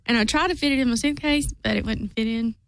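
A woman's voice: fairly high pitch, quick pace, smooth, dry recording. A woman guest with a Southeast Kentucky accent, giving short, giggly answers in a casual chat. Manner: brief, giggly replies.

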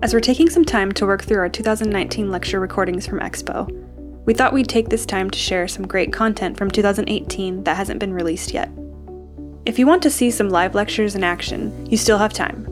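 A woman hosting a podcast, reading a scripted introduction in a smooth, even manner. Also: medium pitch, brisk, over music. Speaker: podcast host.

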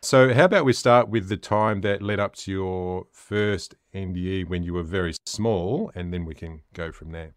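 Deep-voiced podcast host. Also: male voice, moderate pace, hardly any pauses, no room sound, studio quality. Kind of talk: casual interview chat.

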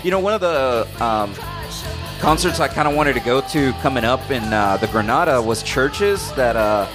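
A man's voice: medium pitch, lively intonation, normal speed, over music. A man co-hosting a podcast in casual, opinionated banter, questioning things and swearing freely. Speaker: podcast co-host. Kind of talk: casual, bantering music chat.

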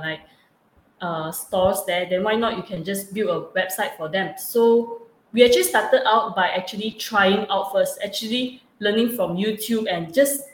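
A young woman with a Malaysian accent, answering interview questions casually in halting, repetitive phrasing. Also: mid-range voice. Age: young adult. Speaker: student entrepreneur being interviewed. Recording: echoey room with clear background noise.

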